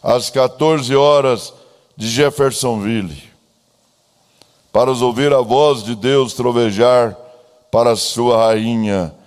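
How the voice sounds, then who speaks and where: A man with a Brazilian accent preaching as a pastor, solemn and deliberate, with emphatic phrasing. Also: mid-range voice, darkish tone. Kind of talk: church sermon with a read-out letter. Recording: long echoing hall reverb.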